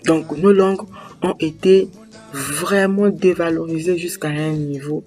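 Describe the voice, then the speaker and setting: A young man speaks with passionate emphasis. He hammers points home by repeating phrases, and his voice sits fairly high. Faint music runs underneath. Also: steady tone, measured pace, darkish tone. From Republic of the Congo. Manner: emphatic, repeats phrases for weight, building intensity. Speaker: host. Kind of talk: impassioned monologue on language and culture.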